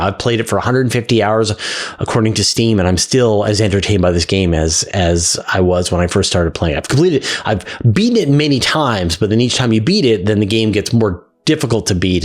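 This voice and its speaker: A man hosting a science Q&A show, explaining things in a casual, conversational and enthusiastic way. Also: on the low side. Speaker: host of a space Q&A show. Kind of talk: casual explanatory talk to camera.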